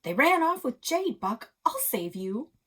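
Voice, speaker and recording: Lady reading as bold young adventurer girl in a storybook, bossy, urgent, bit husky. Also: sits high, big pitch swings, a little slow, voice pushed up, heavily acted.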